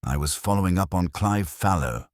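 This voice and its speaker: A man voicing a calm, clipped private investigator reporting facts with a slightly uneasy edge in an audio story. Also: sits low, normal speed, no room sound, studio quality.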